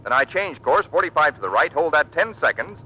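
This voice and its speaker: An actor playing an earnest, boyish young Navy rookie pilot, polite and eager, in old radio drama style. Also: male voice, a little high, big pitch swings, fairly quick, sharp tone, exaggerated voice. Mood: eager, defensive. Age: young adult. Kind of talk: 1940s radio acting. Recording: faintly noisy vintage radio recording.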